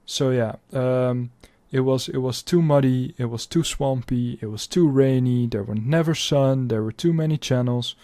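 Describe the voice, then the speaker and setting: A man's voice: medium pitch, moderate pace, few pauses, warm tone, no echo. Dutch-accented man in casual commentary. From Netherlands. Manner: chatty, explaining with fillers and restarts. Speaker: history reaction host. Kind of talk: casual commentary reacting to a video.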